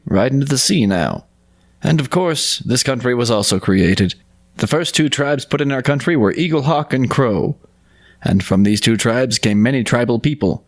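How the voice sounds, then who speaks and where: A man co-hosting a podcast, discussing and narrating folk tales in an earnest, unhurried way. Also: medium pitch. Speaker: podcast co-host and storyteller.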